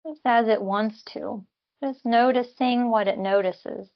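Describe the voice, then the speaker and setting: A woman leading a guided body scan meditation as a teacher, speaking in gentle, unhurried instructions with long pauses. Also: fairly high pitch, steady tone, highs cut off.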